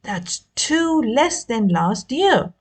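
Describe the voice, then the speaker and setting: A woman voicing a spoiled, sulky young boy in a storybook, speaking in a slow, petulant manner. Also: on the high side, sing-song, pitched above the performer's own voice, exaggerated voice.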